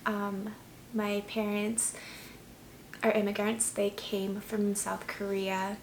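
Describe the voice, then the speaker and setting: Gravelly-voiced young woman, casual and chatty. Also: medium pitch, completely flat delivery, slow pace, slight background noise, low-quality recording. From United States. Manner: candid, chatty, many "like"s and "um"s. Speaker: vlogger. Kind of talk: casual personal storytelling.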